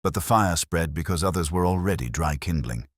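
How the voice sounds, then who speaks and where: A man voicing a kindly, thoughtful male police inspector from a storybook, gentle, wry and reassuring. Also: low voice, lively intonation, fairly quick, dry recording, studio quality. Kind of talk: storybook character dialogue.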